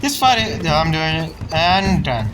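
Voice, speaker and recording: A man's voice: on the high side, very animated, unhurried, poor audio. A man streaming a video game, giving casual commentary in short, muttered reactions. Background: game music.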